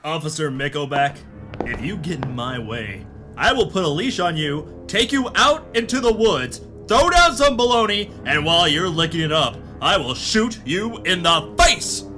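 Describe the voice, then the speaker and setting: A man acting a hard-boiled pulp adventure hero, pitched slightly high for a man and drenched in reverb. He is pompous and deliberate, narrating like an old radio serial, with music clearly playing behind him. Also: expressive, sharp tone. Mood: gruff, threatening. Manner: melodramatic, grave, deliberate hard-boiled delivery. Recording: reverberant voice over background music.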